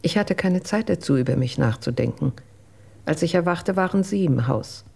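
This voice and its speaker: An actress in a radio drama playing a matter-of-fact household employee, composed, with a husky, creaky voice. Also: female voice, sits low, lively intonation, moderate pace, heavy background noise. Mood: composed witness.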